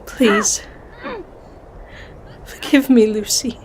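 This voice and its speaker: A woman's voice: on the high side, steady tone, very slow, crowd noise. An actress playing a resolute, grieving Victorian woman in an audio drama, speaking formally and with determination. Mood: grieving resolve.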